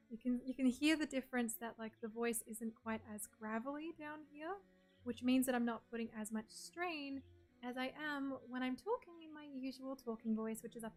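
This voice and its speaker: Australian woman, game streamer; soft and breathy, a touch high-pitched, chatty, answers chat as she goes. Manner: chatty, reads chat messages aloud and answers them. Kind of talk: casual chat with stream viewers.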